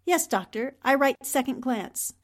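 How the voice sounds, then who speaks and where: A woman's voice: high-pitched, expressive, a little slow. A woman playing a poised, intelligent newspaper columnist heroine, polite but firm and articulate, in 1940s radio drama style. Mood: wary politeness.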